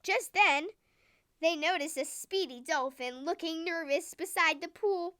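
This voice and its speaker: Really high voiced lady reading a bedtime story for kids, slow n expressive, dry close sound. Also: no echo. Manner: expressive, deliberate, enunciated delivery for young children. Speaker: storyteller and show host. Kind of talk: scripted children's bedtime story narration.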